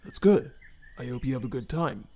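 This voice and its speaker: A man playing a remorseful, haunted skeleton scientist and father, speaking formally and haltingly with earnest care in a fan comic dub. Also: mid-range voice, animated, darkish tone, natural voice. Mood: subdued, guilty.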